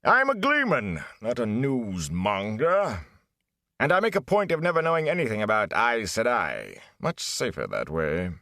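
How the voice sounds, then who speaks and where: A man doing a flamboyant old gleeman who booms like he's in a great hall and sneers at gossip. His voice swoops wildly up and down. The sound is dry and close. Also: wildly swooping pitch, normal speed, bright, forceful tone, full character voice. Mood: dismissive. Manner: grand, booming, scornful asides. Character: flamboyant older male gleeman, theatrical and scornful.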